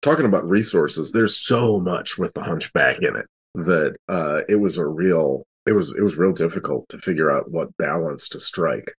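A man with a very low, raspy voice chats on a round-table podcast. His manner is conversational and appreciative. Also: moderate pace, few pauses. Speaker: podcast cast member. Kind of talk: casual round-table chat.

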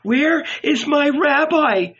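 Man voicing an anxious, high-pitched impassioned woman. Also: lively intonation, slow pace, a bit bright, lo-fi sound, full character voice. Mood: distraught. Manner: impassioned, heartrending delivery. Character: anxious, commanding young woman devoted to her rabbi.

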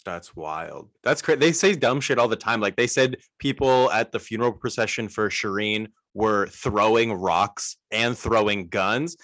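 A man's voice: on the low side, a little slow, hardly any pauses, fairly bright tone, no room sound. A man co-hosting an interview podcast, blunt and sarcastic, with casual swearing. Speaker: podcast co-host.

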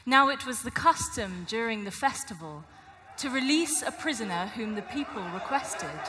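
A woman narrating a dramatic Bible reading in a measured, deliberate way. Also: fairly high pitch, animated, slight background noise. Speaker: scripture reader. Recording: loud music over voice. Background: loud music.

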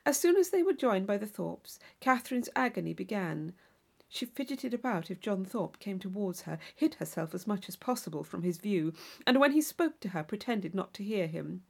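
A woman reading an audiobook of classic fiction as an expressive, formal storyteller. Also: medium pitch, moderate pace, vocal fry. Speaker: audiobook reader. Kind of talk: scripted literary narration.

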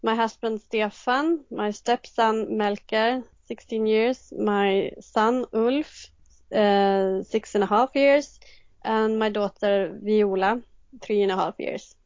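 A woman's voice: medium pitch, slow, a little mellow, no room sound. Hesitant Swedish woman in her thirties. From Sweden. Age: 30s. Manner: hesitant, many ums and restarts. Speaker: guest illustrator. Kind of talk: casual interview answers. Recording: dry, dull lo-fi sound.

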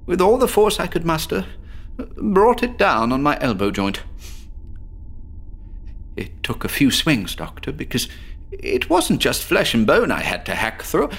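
A man's voice: on the high side, creaky, a bit bright, full character voice. A man playing a troubled psychiatric patient in a horror audio drama, agitated and stammering as he confesses his tale. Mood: shaken, reflective. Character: troubled one-armed male patient haunted by an inner voice.

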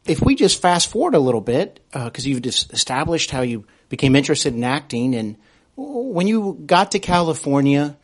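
A man's voice: on the high side, sing-song, normal speed. A man interviewing a guest as podcast host, conversational and reflective, with hesitant phrasing.

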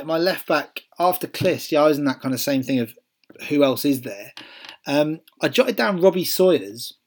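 Chatty Welsh man. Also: fairly high pitch. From Wales. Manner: chatty, lots of ums and restarts, musing. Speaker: podcast co-host. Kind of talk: casual chat.

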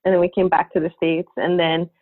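A woman in her forties from New York, a podcast guest chatting casually with lots of fillers and pauses. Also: fairly low pitch, little pitch movement. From Long Island, New York. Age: 40s. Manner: rambling, frequent fillers and laughs. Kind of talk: casual interview chat. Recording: phone-like narrow sound.

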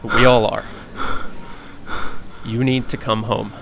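An actor playing an alarmed, earnest young sidekick in an audio drama, urgent and pleading. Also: male voice, fairly low pitch, level tone, slow, creaky, a bit bright, heavily acted. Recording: muffled audio with faint noise.

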